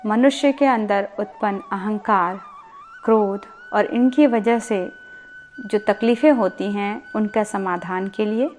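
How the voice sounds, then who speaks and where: Slow-paced woman guiding sleep meditation. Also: medium pitch. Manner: calm, soothing, deliberate instruction with pauses and repeated words. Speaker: yoga nidra meditation instructor.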